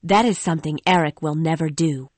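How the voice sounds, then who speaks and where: Lady playing a frightened young opera singer in a storybook, lower pitch, dry. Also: a little fast, dry recording. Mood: earnest. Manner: earnest, pleading dialogue reading. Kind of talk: audiobook dialogue acting.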